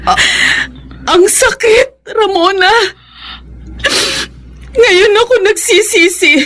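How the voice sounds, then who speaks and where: High-voiced, hurting, regretful mother. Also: slow, fairly bright tone, heavily acted. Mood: pained, regretful. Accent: Filipino. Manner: emotional, admonishing. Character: Lourdes, regretful, hurting mother advising her friend. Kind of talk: Filipino radio drama acting.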